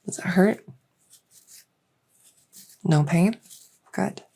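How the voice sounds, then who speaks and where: A woman playing a gentle, reassuring fairy-dragon clinician in an unhurried, slightly hesitant medical roleplay ASMR. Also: on the low side, gravelly, husky, heavily acted. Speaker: roleplay audio performer.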